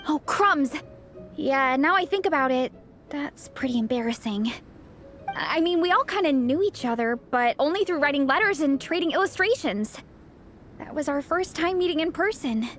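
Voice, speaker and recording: A bubbly young woman athlete, high and very animated. She sounds sweet, eager and gushing, like a game character, with soft music behind. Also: normal speed. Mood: sheepish, cheerful. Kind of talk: video game character acting.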